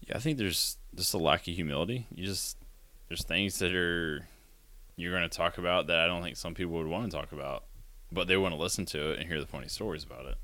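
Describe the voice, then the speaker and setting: A man in his thirties with a deep voice, hosting a casual podcast chat in a rambling, chatty way. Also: fast, pushed and bright, no room sound. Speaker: podcast host.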